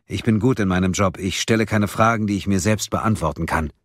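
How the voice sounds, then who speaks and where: A man's voice: low-pitched, a little fast, no room sound, clean studio sound. A man voicing a nervous, guarded male newspaper reporter who speaks tensely. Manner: nervous, pressed.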